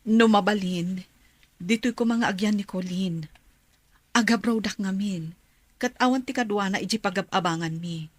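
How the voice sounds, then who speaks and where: An actress playing a worried, loving mother with a husky voice in an Ilocano radio drama. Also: mid-range voice, moderate pace, long pauses, no room sound, close to an everyday voice. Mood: pleading. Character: worried, loving mother leaving to work abroad.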